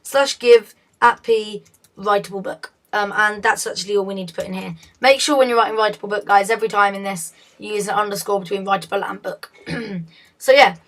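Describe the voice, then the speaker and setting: Hoarse woman explaining a game build. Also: medium pitch, steady tone, brisk, a bit bright. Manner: chatty, self-correcting, often laughs at slips. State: hoarse, losing her voice. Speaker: video tutorial host. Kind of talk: casual game tutorial.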